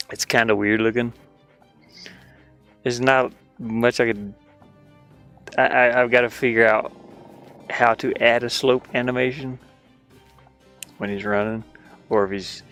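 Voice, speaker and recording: An easygoing man talks through his game development work in a slow, unhurried way. His mid-pitched voice has a creaky fry to it, and he rambles haltingly, often muttering his thoughts to himself. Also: fairly bright tone. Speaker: indie game developer showing his own game. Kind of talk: casual, rambling devlog commentary while working.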